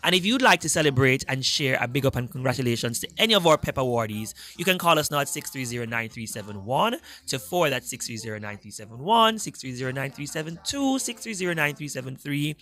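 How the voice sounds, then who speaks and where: A man with a Jamaican accent hosting a live radio show in a studio, reading out listener shout-outs in an upbeat, emphatic announcer style. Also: medium pitch, lively intonation, fast, few pauses. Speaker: radio host.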